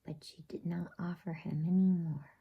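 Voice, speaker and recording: A woman narrating a bedtime story in ASMR style, whispering in a soft, soothing, unhurried way. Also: fairly low pitch, steady tone, gravelly, husky, lo-fi sound.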